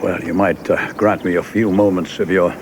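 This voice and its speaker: An actor playing an older government secretary in a radio play, halting, courteous and drily polite. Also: male voice, deep voice, steady tone, close to an everyday voice. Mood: wry, polite. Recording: clearly noisy background ambience.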